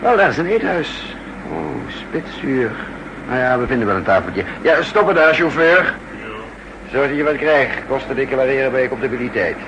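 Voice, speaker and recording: An actor playing a cautious, guarded counter-espionage chief with a hesitant, clipped Netherlands Dutch delivery in a 1960s radio drama. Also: male voice, medium pitch, animated, moderate pace, lightly acted. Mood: brisk. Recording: hissy 1960s radio recording.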